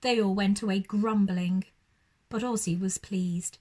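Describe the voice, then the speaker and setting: A woman's voice: medium pitch, steady tone, unhurried, warm tone. A woman reading a children's bedtime story expressively, like a primary school teacher addressing young children.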